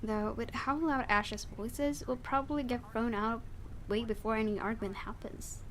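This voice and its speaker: A woman reading a visual novel game aloud in an expressive storytelling style, with her own asides. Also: a little high, normal speed, a little hiss or hum.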